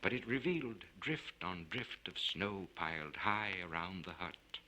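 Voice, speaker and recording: Deep-voiced guy reading an old story, really animated and theatrical, faint noise like a vintage record. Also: unhurried. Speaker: narrator of a literary short story. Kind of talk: scripted dramatic narration. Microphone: studio recording for LP. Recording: noisy vintage spoken-word record.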